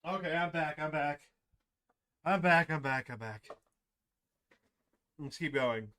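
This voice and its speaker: A man livestreaming a visual novel, switching between excitable, repetitive casual chat and reading the story text aloud. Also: on the high side, slow, clean tone. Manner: excitable, repeats himself. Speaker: streamer playing a visual novel.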